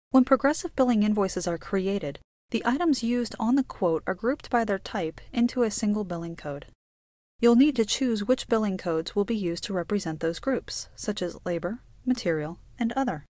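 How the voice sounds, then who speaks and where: A woman reading scripted instructional narration for a software tutorial in a measured, explanatory way. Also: medium pitch, no room sound.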